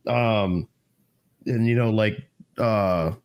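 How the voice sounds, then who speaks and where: A man's voice: lowish voice, drawn-out words, muffled recording. Male co-host, congested, rambling, profane, casual. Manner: rambling, slangy, swears a lot. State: stuffy, recovering from flu. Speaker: podcast co-host. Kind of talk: casual chat.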